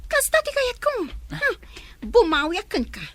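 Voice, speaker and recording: A woman's voice: extremely high pitch, huge pitch swings, normal speed, no echo, exaggerated voice. A woman playing a spoiled, demanding, sneering young woman who bullies her household in an Ilocano radio drama. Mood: angry, sneering. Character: spoiled, bossy young woman bullying sibling and maid.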